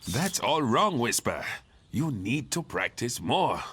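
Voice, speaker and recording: An actor playing an arrogant, domineering champion fighter in a fantasy video game, boastful and condescending. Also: male voice, medium pitch, big pitch swings, takes it slowly, background noise. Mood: scolding, boastful.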